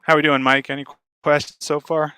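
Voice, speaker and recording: A man giving an informal instructional talk as a speaker, talking fast and animatedly and often checking in with his listeners. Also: a little high, smooth, dry recording. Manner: fast, animated talker who checks in with "right?".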